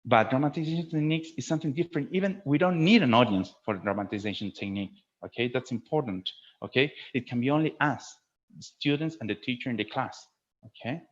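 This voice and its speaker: A man's voice: medium pitch, quick pace. Man lecturing in a dull-sounding webinar. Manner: didactic, often ending phrases with a checking "okay?". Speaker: teacher giving a webinar. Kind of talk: webinar lecture to fellow teachers.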